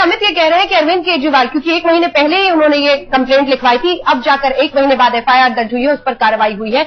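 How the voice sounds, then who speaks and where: A woman anchoring a live TV news debate, putting pressing questions and cutting in on her guests. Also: high-pitched. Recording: dull lo-fi broadcast audio.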